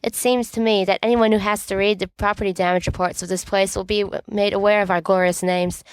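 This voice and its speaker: A woman's voice: medium pitch, level tone, brisk, smooth, no room sound, natural voice, rough recording. A woman playing an earnest, bookish teenage boy in a storybook reading, speaking in a precise, reproachful way. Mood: exasperated protest.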